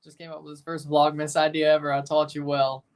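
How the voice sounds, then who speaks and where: A man's voice: a little high, monotone, fairly quick, smooth. Young man, twenties, livestream host, casual match watchalong, joking banter. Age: 20s. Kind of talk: live match watchalong commentary.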